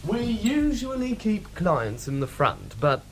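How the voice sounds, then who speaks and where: An actor playing an obliging, gossipy office clerk in a radio drama, courteous and chatty with dry humour. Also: male voice, sits high, very animated, takes it slowly, slight background noise, heavily acted.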